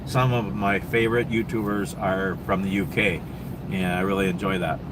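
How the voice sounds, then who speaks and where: A man's voice: deep voice, little pitch movement, moderate pace, noisy background. A man with a Minnesota accent, hosting a casual livestream and answering viewer questions in a rambling, easygoing way full of ums and restarts. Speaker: livestream host. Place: truck stop, with traffic and air conditioning noise.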